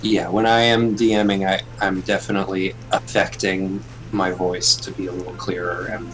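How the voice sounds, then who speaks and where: A man's voice: low-pitched, level tone, fairly bright tone, soft background music, low-quality recording. A man with a slightly nasal, congested voice, game master of the group, chatting casually and stumbling over repeated words. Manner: halting, repeats words as he talks. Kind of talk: casual pre-game chat.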